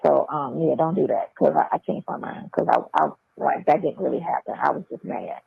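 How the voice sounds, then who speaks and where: A woman's voice: deep voice, lively intonation, brisk, gravelly, husky, dry recording. A woman chatting casually and bluntly on a phone call, repeating phrases for emphasis. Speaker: phone caller. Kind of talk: casual chat. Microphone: telephone. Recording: tinny, band-limited jail phone line.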